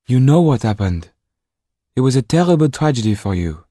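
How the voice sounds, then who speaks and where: A man voicing a stern but caring middle-aged woman guardian, firm and at times hushed, with a husky voice. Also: mid-range voice, sing-song, normal speed, no echo, studio quality, pitched above the performer's own voice. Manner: firm, then hushed and gentle.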